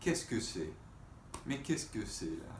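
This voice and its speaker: A man teaching young children in a read-aloud lesson, speaking in simple, deliberate phrases and putting questions to them. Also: lowish voice, big pitch swings. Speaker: elementary school teacher. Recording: echoey room with faint background noise.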